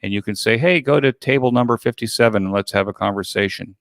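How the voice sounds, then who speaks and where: A man gives a conversational walkthrough as the guest in an interview. His voice is on the low side and very clean and smooth. He explains things step by step, and the sound is dry and close. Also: quick pace, fairly bright tone, dry recording. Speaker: interview guest, online event organizer.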